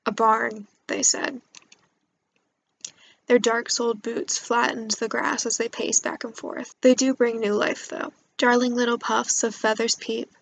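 A woman's voice: fairly high pitch, vocal fry, rough recording. A young woman, a student storyteller, reading a scripted poetic monologue. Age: young adult.

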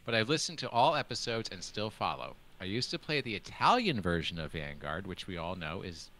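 A man's voice: sits low, big pitch swings, brisk, sharp tone, a little hiss or hum. A man hosting a casual podcast over a video call, chatting and reading out a listener's email with laughing, self-deprecating asides. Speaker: podcast host.

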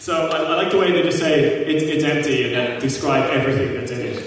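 A man's voice: a little high, fast talker. A man with an English accent doing a comic dramatic reading at a convention panel, mock-dramatic and wry with joking asides. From England. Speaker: convention panel performer and narrator. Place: large convention hall, before an audience. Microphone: distant audience camera. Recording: echoey hall from far off, noisy audience. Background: audience crowd noise.

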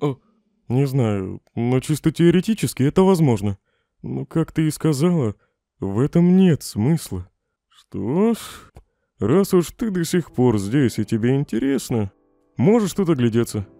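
Performer as male skeleton monster, troubled, emotional, mellow voice, lively pitch, comic dub. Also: a little slow, creaky, no room sound, clean studio sound. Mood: curious, casual. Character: troubled young male skeleton monster, self-doubting, dramatic.

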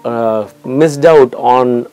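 A man, recalling events earnestly in a documentary interview with filler pauses. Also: medium pitch, mellow, smooth, soft background music.

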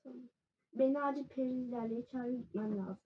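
A young woman doing a quiet calm fairy guide. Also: sits high, normal speed, highs cut off, voice pushed down, lightly acted. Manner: quiet, matter-of-fact, brief answers.

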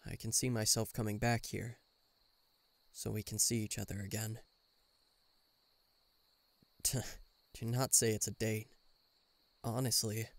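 Man voicing male bodyguard, guarded, dryly teasing, secretly fond, medium pitch, close dry recording, game-based audio roleplay. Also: no echo, close to an everyday voice. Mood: wry, flustered. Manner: dry, teasing, reluctantly tender. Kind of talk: intimate roleplay addressed to the listener.

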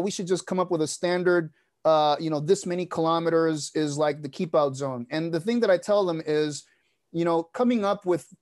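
Guy hosting a zoom panel, kinda high voice, lots of ums and restarts. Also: darkish tone. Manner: thoughtful, many fillers and restarts. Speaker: host of a panel webinar. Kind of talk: casual panel moderation. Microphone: wired earbuds on a video call.

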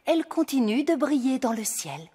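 An actress playing a gracious fairy queen and mother in a French cartoon, patient and expressive, with a slightly husky voice. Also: high-pitched, normal speed, exaggerated voice. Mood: patient, explaining. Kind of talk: French animated cartoon dialogue.

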